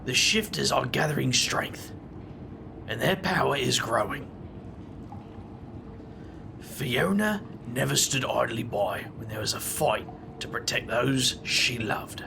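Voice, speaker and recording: A man with a gravelly voice playing a wry hero in a tabletop roleplay, dry and joking, narrating his own moves. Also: mid-range voice, animated, takes it slowly, pauses often, background noise, full character voice. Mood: earnest. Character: wry young man, a magic-wielder.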